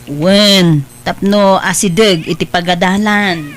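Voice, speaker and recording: A woman playing a kindly, caring mother in an audio drama, gentle and solicitous, with a Filipino accent. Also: on the low side, takes it slowly. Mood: caring, motherly.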